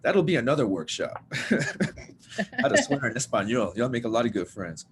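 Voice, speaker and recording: A man's voice: mid-range voice, very animated, moderate pace, slight background noise. A man leading a workshop over a video call, talking in a loose, rambling, self-deprecating way and saying "y'all". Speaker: workshop leader. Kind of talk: casual teaching talk. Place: at home, typing on a bed.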